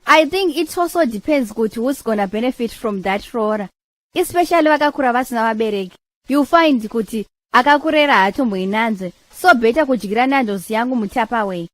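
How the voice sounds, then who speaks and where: Outspoken cartoon woman, played by a woman: very quick talker, Shona mixed with English, dry recording. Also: on the high side, lively intonation, no room sound, close to an everyday voice. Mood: opinionated.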